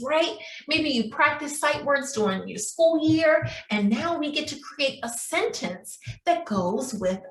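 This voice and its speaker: Casting: female preschool teacher, slow and bright-voiced, encouraging sing-song delivery with frequent check-in questions, recorded in an echoey room. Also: sits high. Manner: addresses young children, frequent checking questions. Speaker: preschool story time teacher. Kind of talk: children's craft and writing lesson. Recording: roomy echo, distant microphone.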